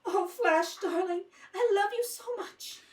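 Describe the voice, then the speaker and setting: A woman's voice: very high-pitched, unhurried, darkish tone. A woman acting a devoted, anguished young heroine in a radio serial, melodramatic and tearful. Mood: tearful devotion. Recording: distant from the mic.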